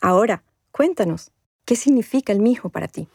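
A woman narrating a scripted documentary podcast as its host, with a measured, formal delivery. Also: medium pitch, lively intonation, vocal fry.

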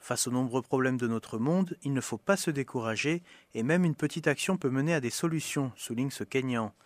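A man's voice: medium pitch, fairly quick, no echo. Male news presenter, dry studio sound. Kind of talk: scripted radio news narration.

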